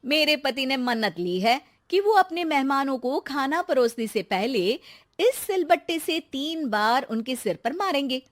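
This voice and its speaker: A woman's voice: sits high, lively intonation, a little slow, voice pushed up. A woman doing a clever, sly cartoon housewife. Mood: sweetly sly. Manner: pleading, then sweetly sly. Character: clever, fed-up young housewife. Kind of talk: cartoon voice acting.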